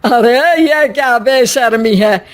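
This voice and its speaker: A woman's voice: fairly high pitch, slow. A woman playing a scandalised, traditional middle-aged mother-in-law in a cartoon story, scolding and exclaiming.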